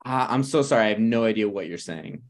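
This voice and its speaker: A man's voice: fairly low pitch, animated, fast talker, lightly acted. A man playing an awkward, meddling friend in a sitcom table read, hesitant and apologetic yet blunt. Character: awkward, opinionated, meddling male friend of a married couple. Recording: online video call table read.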